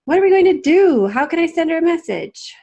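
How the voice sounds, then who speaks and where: A woman voicing an eager, excitable little girl from a children's storybook, speaking in exclamations. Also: very high voice, fairly quick, dull audio, voice pushed up, heavily acted. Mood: alarmed.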